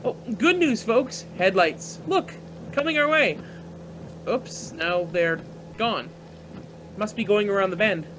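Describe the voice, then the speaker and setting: 男演员扮演的随性司机，语调起伏大. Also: male voice, high voice, lively intonation, slow, fairly bright tone, slight background noise, full character voice, poor audio. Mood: offhand. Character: easygoing male driver and pilot, bold, folksy. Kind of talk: radio play acting.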